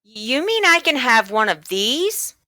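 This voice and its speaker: A woman voicing an eager, polite young boy in a children's storybook, speaking in an earnest, halting way. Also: high-pitched, sing-song, bright, forceful tone, dry recording, voice pushed up, exaggerated voice. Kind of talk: children's storybook read-aloud.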